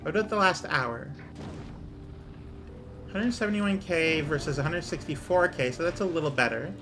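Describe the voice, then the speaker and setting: A man streaming a game, talking casually through his numbers as he plays, with his voice going hoarse. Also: a little high, moderate pace, over music. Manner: muttering numbers while he plays, thinking aloud. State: losing his voice. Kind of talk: casual gameplay commentary.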